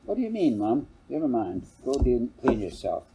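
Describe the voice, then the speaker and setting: An older man voicing a brisk, scolding farm mother in a storybook, husky and sharply questioning. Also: mid-range voice, sing-song, noisy background.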